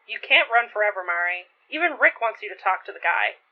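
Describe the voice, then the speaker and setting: A woman's voice: mid-range voice, lively intonation, brisk, close to an everyday voice. A woman playing a teasing, caring young friend in a naturalistic audio drama, casual and wry in manner. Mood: nudging, teasing. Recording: thin, phone-like narrow band.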